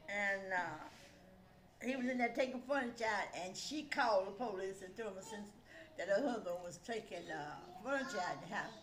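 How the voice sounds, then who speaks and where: A woman's voice: mid-range voice, normal speed, a bit bright, echoey room. An older woman tells stories in casual, rambling conversation, trailing off mid-thought. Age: older, grandmother. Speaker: grandmother telling stories. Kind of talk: casual storytelling chat.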